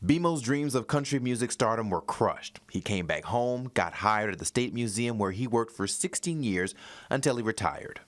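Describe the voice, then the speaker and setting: A man's voice: mid-range voice, lively intonation, normal speed. A man working as a TV news reporter, delivering a feature in a polished broadcast style. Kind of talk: scripted news feature narration with some studio chat.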